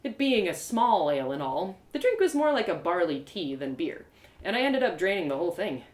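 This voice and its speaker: Expressive woman storyteller, lively pitch. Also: brisk, echoey room. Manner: expressive, theatrical. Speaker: storyteller, audio drama creator. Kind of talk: live first-person dramatic story narration.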